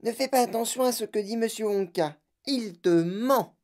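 A woman acts out a haughty storybook mother, easily offended. The voice is lifted high above an ordinary register. Her words are affected, drawn out and indignant. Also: normal speed, darkish tone, natural voice. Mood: haughty, soothing.

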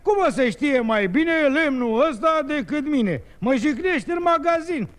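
An actor playing a wily, excitable Turkish folk trickster in a 1950s radio comedy, telling rhymed tales in an exclamatory sing-song manner. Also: male voice, extremely high pitch. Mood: animated, mock indignant.

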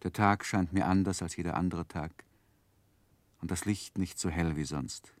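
An actor playing a grown man who soberly recalls his childhood, narrating a 1960s radio play in a measured, reflective way. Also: sits low, steady tone, dry recording, lightly acted. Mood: wistful, reflective.

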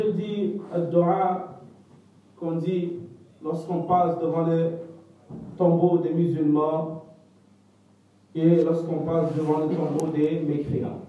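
A man's voice: fairly high pitch, heavy reverb, recorded from a distance, lo-fi sound. A man whose first language is not French, giving a religious lesson that relays a scholar's answers, and often stopping to search for the right French words. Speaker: religious teacher.

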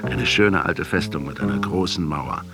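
An actor playing an earnest professor, intense and accusatory, opposed to all violence, in a radio play. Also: male voice, sits low, moderate pace, loud music behind the voice. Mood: measured, ironic. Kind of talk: German radio drama dialogue.

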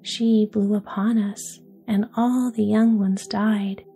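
A woman voicing a wood pigeon that coos softly, in a storybook tale. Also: medium pitch, little pitch movement, slow, soft background music. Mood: sad. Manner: cooing, soft.

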